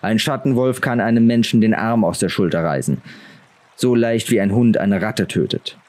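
A man voicing a stern, grave middle-aged lord and father in a fantasy audiobook, measured and commanding. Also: on the low side, darkish tone, lightly acted.

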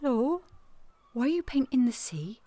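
A woman's voice: high-pitched, sing-song, moderate pace, dark tone, no echo. An actress playing a frank, unimpressed nineteen-year-old Victorian island girl, blunt and matter-of-fact, in a period audio drama. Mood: curious, unimpressed. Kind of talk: period radio drama acting.

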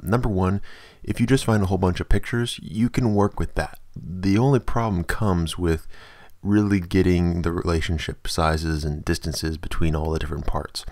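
A low-pitched man hosts an informal software tutorial. He explains each step in a casual, conversational manner. The voice-over is dry and close to the mic, with very little room sound. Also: no echo. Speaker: tutorial host.

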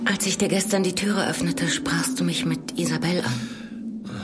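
A woman's voice: fairly low pitch, steady tone, normal speed, over loud music, close to an everyday voice. A woman acting an anxious, tender young village woman in love in a German audio drama. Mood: hushed, uneasy.

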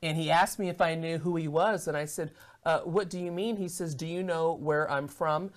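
A middle-aged American woman on a studio talk show, telling her testimony as an animated storyteller who acts out the dialogue. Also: low-pitched, normal speed. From United States. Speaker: guest minister. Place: television studio set.

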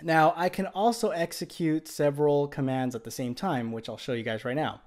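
Slightly high-pitched man presenting tech. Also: moderate pace. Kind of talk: casual demo narration. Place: indoors in a home room.

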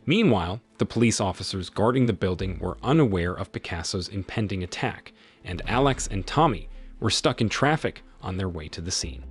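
Husky low-voiced man narrating a recap. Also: expressive, normal speed, soft background music. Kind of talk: scripted narration.

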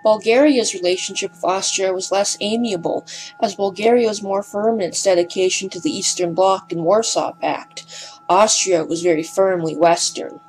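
A woman's voice: mid-range voice, moderate pace, darkish tone, faint music behind, low-quality recording. A woman narrating a scripted amateur documentary, her delivery a bit hesitant and breathless at times.

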